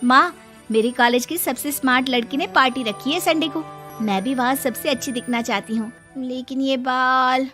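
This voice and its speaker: A woman voicing a proud, naive college girl in an animated moral story, with exaggerated girlish delivery. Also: high voice, moderate pace, faint music behind. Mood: wistful.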